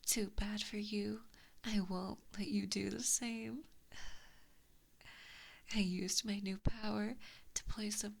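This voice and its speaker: A woman voicing an evil, possessive giant kitsune in a whispered ASMR roleplay, teasing and cruel, close on a binaural mic. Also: mid-range voice. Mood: smug, threatening. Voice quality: whispery, breathy. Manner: slow, taunting, mock-sweet. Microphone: close binaural microphone.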